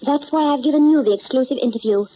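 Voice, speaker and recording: Genteel composed widow lady, woman acting, higher voice, formal old drama style, muffled soundtrack. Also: fast talker, slight background noise, lightly acted. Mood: earnest. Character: poised, genteel, soft-mannered wealthy widow. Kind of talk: mid-century American crime drama acting.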